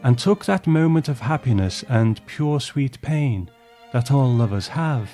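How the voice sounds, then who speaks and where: A man voicing a gracious, refined old married woman with measured, reflective phrasing, in a storybook reading. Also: mid-range voice, expressive, mellow, faint music behind, voice pushed up, natural voice. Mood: tender. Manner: measured, ornate, philosophical phrasing.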